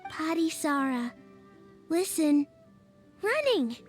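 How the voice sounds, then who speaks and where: Slow, tiny childlike creature. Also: female voice, sits high, big pitch swings, faint music behind, full character voice. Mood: excitable. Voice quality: small, childlike. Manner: halting, echoes words one by one. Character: newborn magical little creature, innocent, childlike, wide-eyed. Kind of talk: video game character acting.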